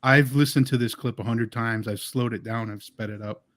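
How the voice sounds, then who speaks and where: Man, mid pitch, eager and talkative, dry close-mic sound. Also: steady tone, moderate pace, fairly bright tone, no room sound. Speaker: paranormal team member. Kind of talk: casual live-stream chat.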